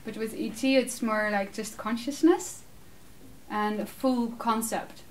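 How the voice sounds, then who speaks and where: A Dutch woman in her thirties answering audience questions in a casual, animated way, laughing often; English is her second language. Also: fairly high pitch, measured pace. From Netherlands. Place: small room with curtained backdrop, seated. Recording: distant mic, faint room noise.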